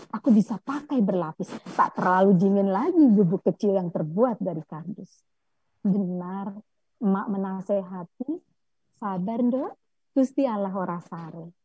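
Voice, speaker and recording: A woman gives a dramatic, plaintive poetry recitation. Her voice is fairly high and husky, with a mellow tone. The recording is echoey and muffled. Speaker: poet reading own poem. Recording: echoey, muffled low-fidelity audio.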